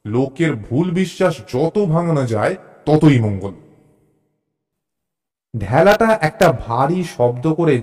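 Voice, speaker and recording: A man narrating a first-person suspense story in an expressive, dramatic way, with deliberate pauses. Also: mid-range voice.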